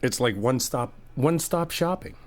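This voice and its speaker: A man's voice: mid-range voice, lively intonation, unhurried. A man doing a friendly ASMR roleplay, chatty and teasing, reassuring the listener as he helps out. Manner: reassuring, helpful.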